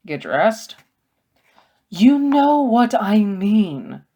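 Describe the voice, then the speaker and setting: A woman voicing a worried, protective male scholar in a storybook, with a slow, earnest, admonishing manner. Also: mid-range voice, sing-song, mellow, voice pushed up, full character voice. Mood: urgent, admonishing.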